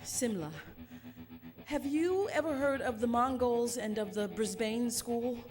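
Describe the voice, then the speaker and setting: An actress playing an agitated, imperious and fastidious mother in a futuristic radio drama, with a haughty, theatrical delivery. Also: fairly high pitch, darkish tone, faint music behind, close to an everyday voice. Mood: curious, weary. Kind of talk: theatrical radio drama acting before a live audience.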